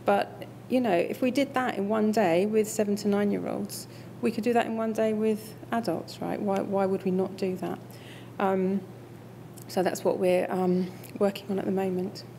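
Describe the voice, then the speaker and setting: A woman speaking to an audience, wrapping up her talk and answering a question in a relaxed, conversational way with many fillers. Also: mid-range voice, moderate pace, creaky, a little hiss or hum. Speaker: invited speaker.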